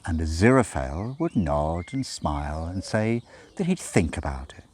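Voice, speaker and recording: A man's voice: fairly low pitch, a little mellow. A man reading a story aloud as scripted narration, with wry, dramatic emphasis. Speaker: audiobook reader.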